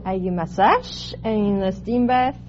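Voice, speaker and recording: A woman's voice: medium pitch, measured pace. A woman appearing as a contestant on a radio quiz show, giving short interview answers. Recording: muffled noisy old radio recording.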